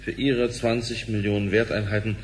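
A man's voice: fairly low pitch, level tone, moderate pace, slight background noise, natural voice. An actor playing a careful businessman in a radio drama, stiff and formal as he puts his case. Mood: stiffly formal. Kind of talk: German sci-fi radio drama acting.

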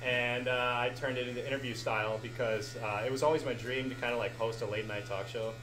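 A man's voice: mid-range voice, fairly even intonation, fast talker. A young man in his twenties hosting a live show, rambling and laughing often as he answers questions and thanks the crowd. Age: 20s. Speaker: podcast host. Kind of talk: live show Q&A and farewell speech. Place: live show venue with audience. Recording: echoey room, loud background noise.

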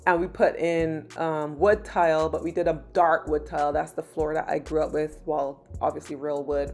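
A woman from Barbados in her thirties, narrating her vlog in a relaxed, chatty way with many "um"s. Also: sits low, gravelly. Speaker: lifestyle vlogger. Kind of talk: casual vlog voice-over.

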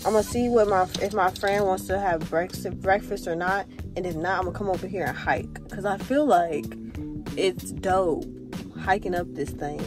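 A young woman talks casually into a phone held at arm's length while sitting in her car. She thinks out loud in a relaxed, rambling way, her voice at an ordinary middle pitch. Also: normal speed, over music. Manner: chatty, thinking aloud while driving. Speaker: vlogger. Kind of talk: casual vlog chat. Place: parked car. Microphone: phone camera at arm's length.

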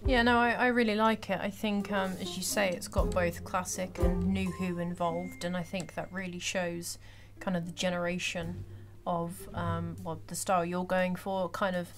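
Slightly low-voiced young woman over music. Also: flat delivery, moderate pace, few pauses. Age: young adult. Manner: enthusiastic, supportive. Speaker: cast member. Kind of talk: casual group chat.

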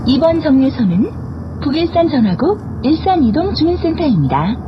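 A woman reading scripted transit stop announcements in a formal, clearly enunciated way. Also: high voice, sing-song, moderate pace, lo-fi sound. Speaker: bus announcer. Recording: clear vehicle noise throughout. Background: bus ambience and vehicle noise.

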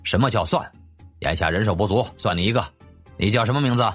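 Man as a stern, low-voiced ancient magistrate. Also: lively intonation, fast talker, faint music behind. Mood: impatient. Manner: curt, commanding. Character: stern, impatient male magistrate of ancient China.

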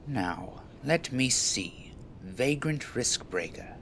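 A man's voice: mid-range voice, expressive, slow, a bit bright, slight background noise. A man playing a stern, suspicious naval officer, formal and condescending, in a game fan dub.

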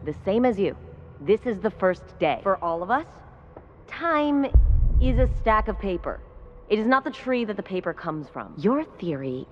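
A woman's voice: medium pitch, big pitch swings, normal speed, a little hiss or hum, lightly acted. A woman playing a haughty, impatient scientist in a video game, speaking in a clipped, scornful, precise manner. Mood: self-assured. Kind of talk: video game dialogue acting. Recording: slightly dull game audio under music.

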